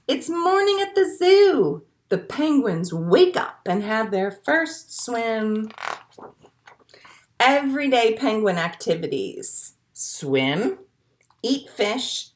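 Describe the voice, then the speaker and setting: A woman leading a children's storytime as a librarian, reading picture books and rhymes aloud in simple, encouraging phrases. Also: fairly high pitch. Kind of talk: animated read-aloud storytime for young children.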